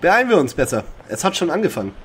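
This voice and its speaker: A man's voice: fairly high pitch, very animated, very fast. A man playing a skeptical, sarcastic young detective in a radio play parody. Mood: brisk.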